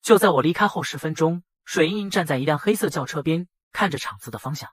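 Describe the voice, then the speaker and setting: A man with a husky voice narrating a dramatized first-person story. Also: a little high, animated, quick pace, no room sound, clean studio sound. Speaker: narrator and voice of the male lead.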